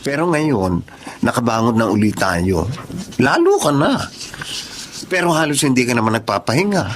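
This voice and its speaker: A man's voice: medium pitch, sing-song, moderate pace, a little hiss or hum, natural voice. A man playing a caring, concerned young suitor in an emotional radio drama, with an earnest, coaxing delivery. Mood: worried, chiding.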